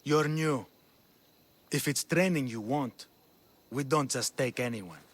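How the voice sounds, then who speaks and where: A man's voice: medium pitch, slow, slight background noise, close to an everyday voice. An actor playing a polite male wrestling trainer who talks in a courteous, advising way.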